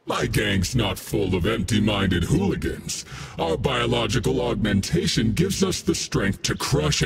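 A man voicing a cocky, menacing demon-powered gang boss for a video game, gravelly and full of boastful taunts. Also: lowish voice, slight background noise, exaggerated voice. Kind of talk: video game character voice pack.

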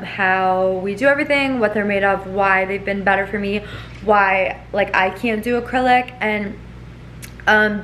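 A woman's voice: medium pitch, a bit bright, a little hiss or hum. A woman in her twenties chatting casually to the camera at home, rambling with lots of fillers. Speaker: vlogger. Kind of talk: casual vlog chat to camera. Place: home living room and kitchen. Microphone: camera at arm's length.

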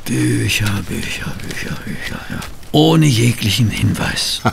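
An actor playing a sceptical detective's companion and narrator in an audio drama, expressive and given to grumbling. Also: male voice, medium pitch, measured pace, gravelly, very noisy. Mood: grumbling, puzzled. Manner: expressive, doubting, hesitant asides.

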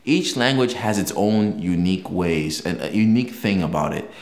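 A man's voice: deep voice, moderate pace, echoey room. Conversational man, a native Yoruba speaker. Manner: conversational, explains with examples, frequent restarts. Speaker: language learning video host. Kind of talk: casual talk to camera.

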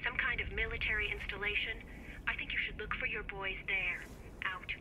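Game role: cool, loyal female military radio operator, mid-pitched, radio-filtered with clear noise. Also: fairly even intonation, a little fast. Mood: businesslike, firm. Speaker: radio dispatcher. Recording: noisy field radio link.

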